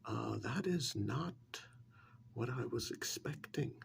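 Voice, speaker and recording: A man playing a polite, guarded, elderly small-town museum curator in a soft, breathy, hesitant ASMR role-play. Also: mid-range voice, expressive, a little hiss or hum, full character voice. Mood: measured, uneasy. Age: older. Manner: hesitant, deliberate, courteous.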